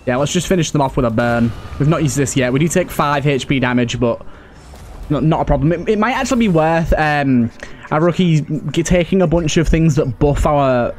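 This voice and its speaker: A man's voice: mid-range voice, a little mellow, slight background noise. A man giving casual let's play commentary as a gaming host, thinking aloud and often repeating his phrases. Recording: clear background game ambience. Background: video game ambience and effects.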